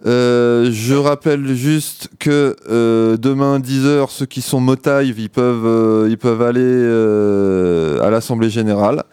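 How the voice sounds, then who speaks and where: A man hosting a community radio show, chatting casually and sounding tired, pausing often on filler sounds into a studio mic. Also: mid-range voice, steady tone, mellow, smooth, dry recording. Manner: hesitant, many filler pauses. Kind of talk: casual on-air chat. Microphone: studio microphone.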